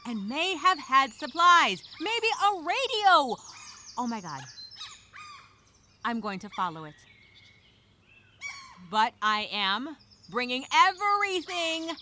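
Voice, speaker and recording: A woman playing an anxious stranded castaway, speaking a dramatic, halting, repetitive audio journal with expressive sighs. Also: extremely high pitch, slight background noise, heavily acted. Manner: expressive, halting, sighing, repeats herself. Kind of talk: dramatic first-person audio journal monologue.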